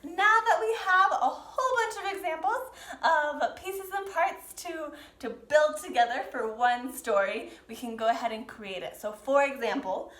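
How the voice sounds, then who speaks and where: A woman teaching dance to young learners, explaining and demonstrating step by step with gentle, encouraging prompts. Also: high-pitched, measured pace. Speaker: dance teacher leading a children's pantomime lesson. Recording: roomy, echoing sound.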